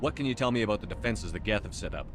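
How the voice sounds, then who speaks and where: A dutiful game hero, a military commander with a low voice and a quick pace. He is terse and steady, with music clearly playing behind him. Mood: resolute. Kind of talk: video game dialogue acting.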